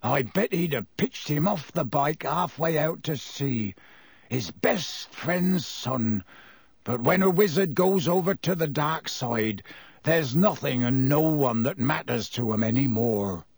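Man acting gruff, growling, hot-tempered big man. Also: fairly high pitch, lively intonation, slow pace, dry recording, exaggerated voice. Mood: grim. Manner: blunt, threatening.

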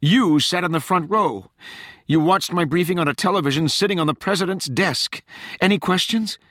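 A man's voice: high-pitched, moderate pace, a bit bright, dry recording, clean studio sound, pitched above the performer's own voice. A man voicing a desperate younger woman, an intelligence liaison, in an urgent, emphatic audiobook character voice. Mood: exasperated, insistent.

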